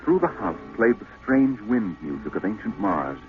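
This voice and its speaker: A man's voice: on the low side, very animated, normal speed, narrow, tinny audio. A man narrating a Mars tale solemnly in a scripted 1950s radio drama. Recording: thin 1950s radio broadcast with music.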